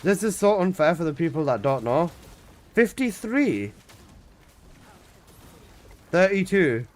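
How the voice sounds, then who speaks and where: A young man in his twenties chats on a live game stream, his voice sitting a little high for a man and leaping up and down lively as he reacts to chat. He talks loosely and swears casually, getting loud when he protests. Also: slow. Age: 20s.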